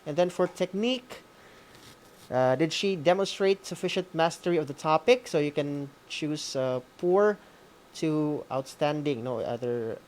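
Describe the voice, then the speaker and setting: A man's voice: on the high side, lively intonation, unhurried, darkish tone. A man with a Filipino accent giving an informal, hesitant instructional walkthrough, often checking in with a tag question. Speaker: instructor leading an online session.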